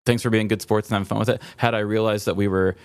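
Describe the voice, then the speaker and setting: An American man with a slightly low voice, recorded dry and close. He hosts a podcast in a casual, joking style. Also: little pitch movement, racing, clean tone, no room sound. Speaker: podcast host.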